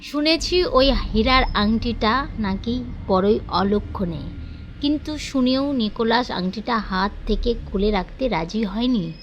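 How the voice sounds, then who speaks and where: A woman playing a businessman's earnest wife in an audio story, answering questions in a measured way. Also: fairly high pitch, natural voice. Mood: earnest, sombre. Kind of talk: dramatised audio story acting. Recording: dull lo-fi sound, faint noise.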